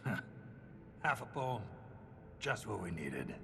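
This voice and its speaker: A gruff dwarven soldier from a fantasy game, speaks in a lowish voice. He is blunt, practical and dryly argumentative, keeping his words terse. Music plays underneath. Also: big pitch swings, slow pace, noisy background, full character voice. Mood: dry, dismissive. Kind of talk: fantasy video game dialogue.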